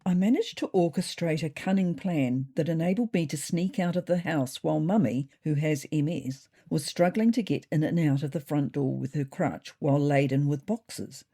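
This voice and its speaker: A woman's voice: sits low, normal speed, few pauses, mellow. A woman voicing a thoughtful, neurotic, self-important male ginger cat who narrates his diary in a pompous, wordy way. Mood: pompous, indignant. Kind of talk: first-person storybook diary narration.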